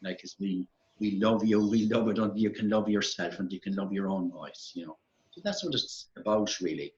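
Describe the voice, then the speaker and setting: An older Irish man who leads a singing circle, talking gently and encouragingly with many fillers. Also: on the low side, moderate pace. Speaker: music circle leader. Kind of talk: relaxed conversation. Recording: roomy, dull recording.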